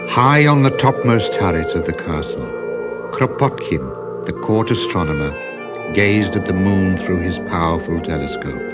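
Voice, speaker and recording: A man narrating a children's story as an expressive storyteller. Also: deep voice, a little slow. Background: music.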